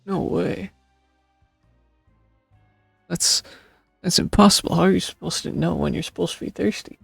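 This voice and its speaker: A man streaming a game with casual commentary in a gravelly, husky voice. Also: high voice, steady tone, moderate pace, no echo, clean studio sound, faint music behind.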